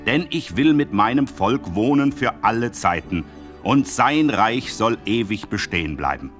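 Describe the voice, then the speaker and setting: An actor playing a solemn prophet who relays God's words in measured proclamation in an audio drama. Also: male voice, on the low side, animated, a bit bright, faint music behind. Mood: solemn, commanding. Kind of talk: audio drama acting, declamatory.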